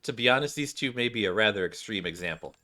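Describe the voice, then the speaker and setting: A man plays a composed school teacher in a video game scene. The lines come out measured and explanatory, in a bright voice that sits calmly in conversation. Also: on the low side, voice pushed down.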